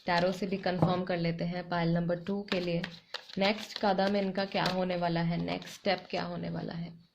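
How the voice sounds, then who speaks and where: A woman with an Indian accent giving a tarot reading to her viewers, her throat a little hoarse, speaking in an unhurried, reflective way and repeating phrases for emphasis. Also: on the low side, flat delivery, background noise. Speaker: tarot reader.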